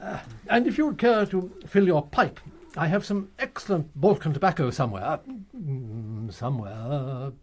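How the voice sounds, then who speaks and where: An actor in a radio drama playing an older retired celebrated detective, pompous and courtly, with precise English diction. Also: male voice, a little high, moderate pace, natural voice. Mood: courteous host.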